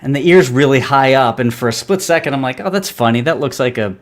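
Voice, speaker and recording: A man's voice: animated, quick pace. Rambling man telling a story, full of "like". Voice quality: describes his own voice as high. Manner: rambling, filler-heavy storytelling with frequent "like". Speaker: comic writer and artist, guest. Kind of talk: casual live-stream interview.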